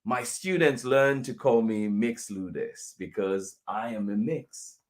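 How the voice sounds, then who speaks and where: A man reading a children's picture book aloud as a teacher would, deliberately, with pauses between phrases. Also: lowish voice.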